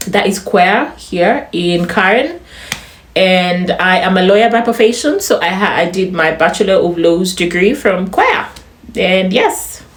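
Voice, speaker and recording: A young woman from Uganda with a softly polished Ugandan accent, chatting casually to camera as a vlogger, laughing often and throwing in animated asides. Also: medium pitch, roomy sound. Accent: Ugandan, slightly polished.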